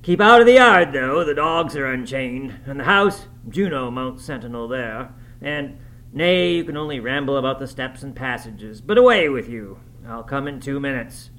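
Brooding, scornful master of the house, classic novel audiobook. Raised, forceful pitch, curt orders, volatile feeling. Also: male voice, animated, moderate pace, poor audio. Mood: scornful, commanding. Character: brooding, tormented, gruff landlord, a grown man.

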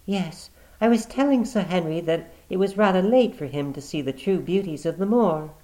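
Brief: male reader doing a young lady, high and lisping, pressing and secretive, storybook. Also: expressive, moderate pace, mellow, poor audio. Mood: polite. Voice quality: low eager voice with a lisp. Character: young English woman, urgent, secretive. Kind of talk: audiobook character reading.

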